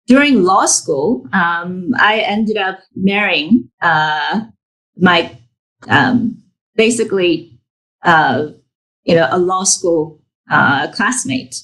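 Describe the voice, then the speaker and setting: Slow, measured woman executive. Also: lowish voice, fairly bright tone, low-quality recording. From United States. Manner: measured, composed, with fillers between phrases. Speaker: corporate executive, guest speaker. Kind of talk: interview answer, self-introduction. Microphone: remote call from abroad.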